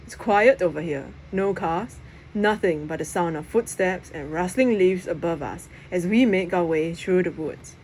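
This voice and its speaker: A woman's voice: on the low side, unhurried, darkish tone, poor audio. A woman reading a children's novel aloud as first-person narration. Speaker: audiobook reader. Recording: faint background noise.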